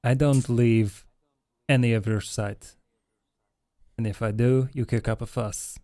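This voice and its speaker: Man acting a slow, mellow, wary vampire. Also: mid-range voice, fairly even intonation, no room sound, clean studio sound, close to an everyday voice. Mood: guarded. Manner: slow, measured, guarded.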